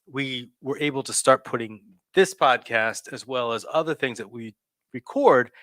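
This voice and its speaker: Casting: man in his forties, bright tense voice, dry close mic, rambling casual host. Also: mid-range voice, normal speed, no echo. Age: 40s. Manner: rambling, self-correcting, laughs often. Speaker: podcast host. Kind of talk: casual chat.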